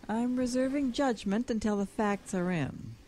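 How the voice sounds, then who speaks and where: A woman's voice: fairly high pitch, moderate pace, dark tone, a little hiss or hum, natural voice. A woman acting a dry, skeptical scientist in an audio drama, speaking precisely and a little smugly. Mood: dry, guarded.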